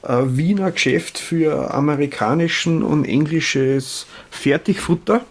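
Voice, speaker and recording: A man's voice: on the high side, unhurried. A man, co-hosting a casual beer chat, adding facts and dry asides. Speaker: beer podcast co-host.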